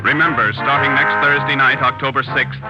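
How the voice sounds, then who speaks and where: On a dull old 1940s radio recording, an American man delivers a scripted network announcement. His voice is mid-pitched, with loud music playing behind it. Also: flat delivery, a little fast.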